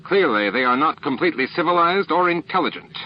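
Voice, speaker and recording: A man's voice: on the high side, expressive, brisk, lo-fi sound, a little hiss or hum, voice pushed up, natural voice. Man voicing a hostile, contemptuous alien warlord. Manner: snapping, stiff. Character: hostile, contemptuous male alien defense master, stiffly dignified.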